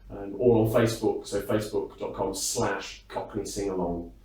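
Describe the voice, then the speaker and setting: A man's voice: fairly low pitch, steady tone, normal speed, mellow. A British man being interviewed backstage, talking casually in a chatty, self-deprecating and rambling way with frequent hesitations. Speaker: interviewed singer and entertainer. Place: backstage at a music hall. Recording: echoey room, distant microphone.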